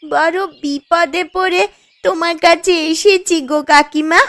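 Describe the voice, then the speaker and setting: A woman's voice: extremely high pitch, steady tone, measured pace, a bit bright, exaggerated voice. An actress playing a troubled, pleading poor village girl in a cartoon story, plaintive and hesitant. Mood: worried pleading. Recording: music under the voice.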